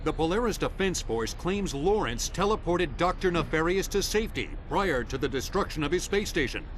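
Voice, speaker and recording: Earnest, highish news reporter. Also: male voice, animated, normal speed, a bit bright, a little hiss or hum. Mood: earnest questioning. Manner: formal, pressing. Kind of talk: cartoon game voice acting.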